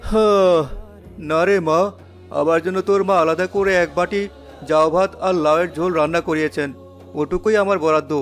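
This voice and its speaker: A man voicing an ailing, resigned middle-aged father in a cartoon, plaintive and gently admonishing. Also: high voice, steady tone, fairly quick, faint music behind, lightly acted. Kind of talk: cartoon dialogue.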